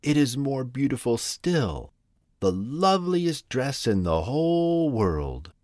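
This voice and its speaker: A man's voice: medium pitch, very animated, slow pace, a little mellow, no room sound, studio quality, pitched above the performer's own voice. A man voicing a shy little freckled girl frog who speaks in a sweet, pleading way.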